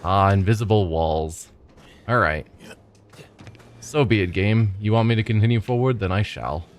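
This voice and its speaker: A man's voice: lowish voice, normal speed, a little hiss or hum. A congested man commentating casually as he plays a video game, musing and chatting as he goes. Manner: musing, chatty, thinking aloud. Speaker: streamer playing a game. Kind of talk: casual let's play commentary. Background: game music.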